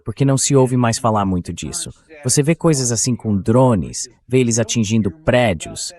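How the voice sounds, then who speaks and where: A man reading an interview host's questions in a measured, explanatory way, as a translated voice-over. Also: medium pitch, big pitch swings, a little mellow. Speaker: interview host.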